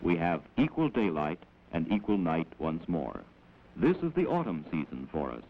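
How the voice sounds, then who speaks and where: A man with an American accent gives measured, instructive scripted narration in the manner of a 1940s educational film, on an old film soundtrack. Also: deep voice, sing-song. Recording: dull, noisy old 16mm film soundtrack.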